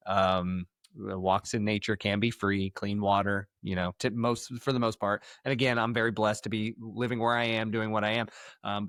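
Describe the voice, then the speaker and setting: A man's voice: on the low side, fairly bright tone, no room sound, studio quality. An American man hosting a conversational podcast interview, speaking in an emphatic, anecdotal way. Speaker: podcast host, health coach.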